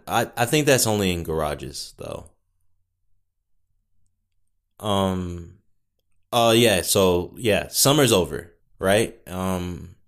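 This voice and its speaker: Solo podcast host, young man with a low booming voice; loose, wandering delivery full of wry asides. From Northwest Indiana. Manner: rambling, self-correcting, wry asides. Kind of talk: casual solo podcast chat. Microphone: studio podcast mic.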